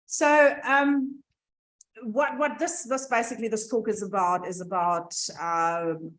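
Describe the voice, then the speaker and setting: A woman's voice: mid-range voice, fairly even intonation, measured pace, poor audio. A woman presenting a university science centre, talking casually and laughing as she repeats herself while fighting screen-sharing troubles in a lecture venue. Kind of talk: informal talk with tech troubles. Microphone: room mic, sometimes off mic.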